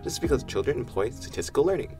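A man's voice: medium pitch, lively intonation, rapid-fire, a little mellow, soft background music. A man reading a scripted explainer about how children learn language.

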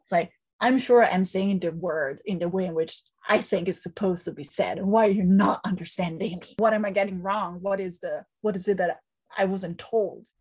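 A woman's voice: medium pitch, steady tone, moderate pace, darkish tone, husky. Reflective woman professor from Italy. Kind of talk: reflective interview talk.

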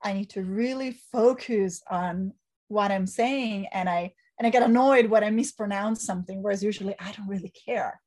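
A woman's voice: mid-range voice, normal speed, a little mellow. Reflective woman professor from Italy. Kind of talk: reflective interview talk.

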